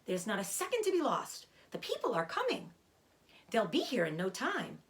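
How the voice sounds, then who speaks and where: A woman's voice: medium pitch, huge pitch swings, moderate pace. A woman voicing an anxious young male pig from a storybook, earnest, urgent and pleading.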